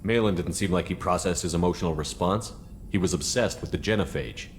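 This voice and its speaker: Probing game commander, deep voice, quick delivery, direct, serious. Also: male voice, slight background noise. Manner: direct, questioning. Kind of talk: video game dialogue acting.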